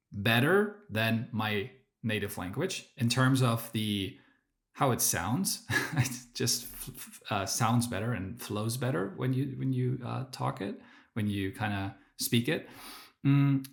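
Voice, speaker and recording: Man, german native speaker, podcast guest, casual storytelling, halting with ums and restarts. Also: mid-range voice, gravelly. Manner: hesitant, many fillers and restarts.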